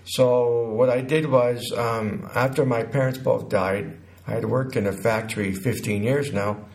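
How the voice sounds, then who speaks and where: A man in his sixties from Connecticut recounts his life as an interview guest, haltingly, pausing mid-sentence. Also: medium pitch, fairly even intonation, creaky, a little mellow. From Connecticut, United States. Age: 60s.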